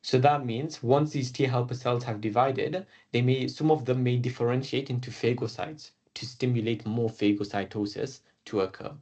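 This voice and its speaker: A British man giving a recorded classroom lecture on biology. He talks through each step in order, filling pauses with "okay" and "um". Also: mid-range voice, fairly even intonation, a little mellow. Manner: explains step by step, often saying "okay" and "um". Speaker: biology teacher.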